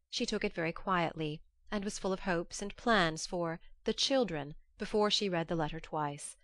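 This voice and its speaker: A woman reads classic fiction as an audiobook narrator. Her voice is a little low, and her scripted delivery is expressive and literary. The sound is very dry, with no room echo.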